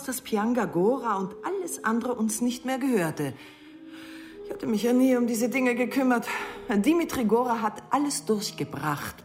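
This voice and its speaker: An actress playing a scornful, mercenary middle-aged wife in a radio play, cutting, mocking and haughty in manner. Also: female voice, medium pitch, natural voice.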